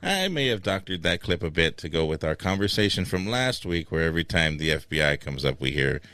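A low-voiced man hosting a comedy podcast, bouncing his pitch around as he jokes. His voice sits dry and close, with no room sound. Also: very animated, a little fast, pushed and bright, no room sound. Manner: joking, tosses to segments. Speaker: podcast host. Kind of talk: casual comedic chat.

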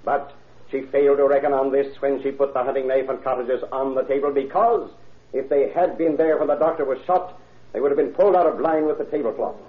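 An actor playing an earnest prosecutor summing up to a jury in methodical, formal oratory, in 1930s radio style. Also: male voice, medium pitch, fairly quick, narrow, tinny audio, close to an everyday voice. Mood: measured, grave. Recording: band-limited old radio broadcast.

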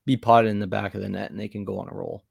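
A man with a fairly deep voice and plenty of fry chats casually about sports. He sounds like a podcast co-host, recorded dry with no room sound. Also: steady tone, quick pace, no echo.

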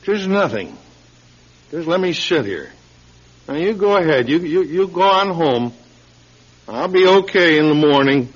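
A man playing a guilt-ridden, middle-aged American political candidate in a classic radio drama, speaking in a halting, confessional way. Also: fairly high pitch, animated, breathy edge. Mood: weary, withdrawn. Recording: dull lo-fi radio recording.

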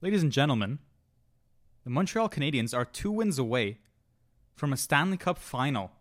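A man's voice: mid-range voice, big pitch swings, moderate pace. Man co-hosting a hockey podcast. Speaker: podcast co-host. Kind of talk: casual sports chat.

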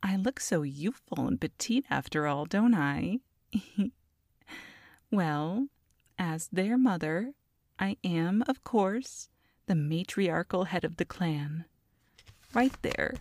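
Woman playing a slow, taunting goblin matriarch. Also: on the low side, expressive, darkish tone, no echo, clean studio sound, heavily acted. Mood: smug, flirty. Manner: teasing, smug, drawn-out villainous taunting. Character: small, taunting, flirty goblin matriarch, mother of a clan. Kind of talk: ASMR audio roleplay.

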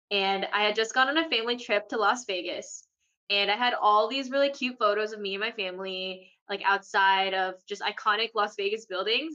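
A woman's voice: mid-range voice, dull audio. A young woman in her twenties chatting casually on a podcast, telling a funny story with energy. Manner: bright, laughing, animated storyteller. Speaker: podcast co-host. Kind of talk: casual chat.

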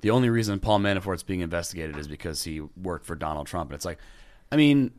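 A man co-hosting a comedy podcast, talking wryly in halting, filler-heavy sentences. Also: deep voice, animated, darkish tone. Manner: wry, halting, lots of "uh". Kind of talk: casual podcast chat.

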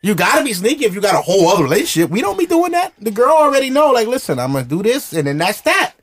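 Brash, animated New man. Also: high voice, racing. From Brooklyn, New York. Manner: brash and provocative, laughs often. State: drinking alcohol while recording. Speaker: podcast host. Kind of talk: loose, profane casual chat. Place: studio.

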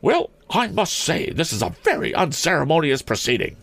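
Storybook role: elderly schoolmistress, haughty and bristling, raised high pitch, very animated scolding. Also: male voice, normal speed, creaky, dry recording, full character voice. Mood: indignant, haughty. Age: older. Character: bristling, determined elderly headmistress, indignant and imperious.

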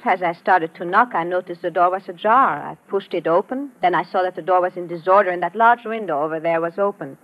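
A woman's voice: on the low side, a little fast, hardly any pauses, close to an everyday voice. An actress playing a polite, earnest and anxious young woman in an old-time radio drama. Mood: earnest, uneasy. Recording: muffled, noisy vintage radio recording.

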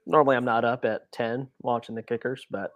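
A man's voice: mid-range voice, fairly even intonation, brisk, no echo, dull audio. A man co-hosting a casual sports podcast, talking in a laid-back, understated way.

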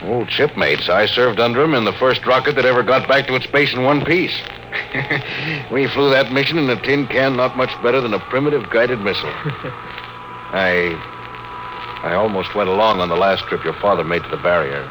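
An actor playing a seasoned, fatherly spaceship captain in an old-time radio drama, steady and in command. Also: mid-range voice, normal speed, close to an everyday voice. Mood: reflective. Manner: clipped orders, reflective hesitations. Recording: noisy vintage radio drama recording.

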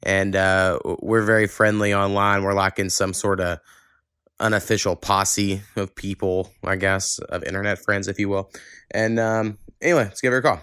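A man's voice: low-pitched, flat delivery, normal speed, fairly bright tone, smooth, dry recording, studio quality. An American man hosting a podcast in casual chat, with an informal, rambling, laid-back manner. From Oklahoma, United States. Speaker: podcast host.

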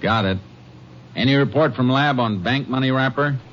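A man's voice: medium pitch, normal speed, a bit bright, a little hiss or hum, close to an everyday voice. A man acting a terse, commanding Texas Ranger investigator in clipped, authoritative 1950s radio drama style. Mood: brisk, businesslike. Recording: muffled vintage radio recording, music behind.

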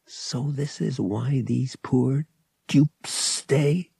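A man's voice: mid-range voice, very slow, creaky, mellow, breathy edge, no echo, voice pushed up, full character voice. A man voicing a defiant, cynical young smuggler in an audiobook, with a sardonic, gritty manner. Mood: bitter.

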